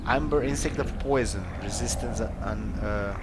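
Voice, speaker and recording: A man's voice: mid-range voice, measured pace, very noisy. A man giving casual gameplay commentary, thinking aloud in a rambling, hesitant way. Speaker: video game player commentator. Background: game music.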